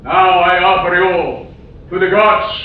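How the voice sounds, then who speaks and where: A man's voice: high-pitched, steady tone, takes it slowly, phone-quality sound. An actor playing a menacing jungle king who offers sacrifices to the gods, declaiming commands and chants in a 1940s radio adventure. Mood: menacing triumph. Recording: echoey, distant, noisy old radio recording.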